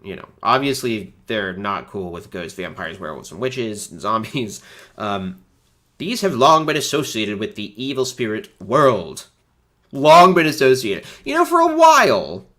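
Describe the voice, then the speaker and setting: A man's voice: on the low side, a bit bright. A man hosting a casual commentary, making sarcastic asides. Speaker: host.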